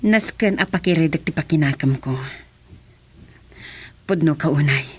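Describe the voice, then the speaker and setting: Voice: mid-range voice, moderate pace, breathy edge, no room sound, close to an everyday voice. Earnest, dutiful Ilocano official voiced by an actor. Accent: Ilocano Filipino. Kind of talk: Ilocano radio drama acting.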